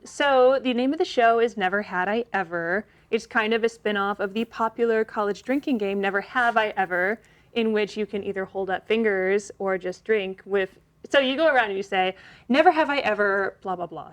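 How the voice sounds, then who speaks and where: An American woman hosting a showcase, talking casually and informally in a chatty way. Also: mid-range voice. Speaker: host.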